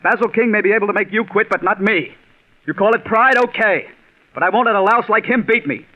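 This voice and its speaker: An actor playing a bitter, stubborn, overworked scriptwriter in 1940s radio drama style, intense and brooding. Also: male voice, high voice, brisk, close to an everyday voice. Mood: angry defiance. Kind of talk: 1940s radio acting with first-person narration. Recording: dull 1940s radio recording.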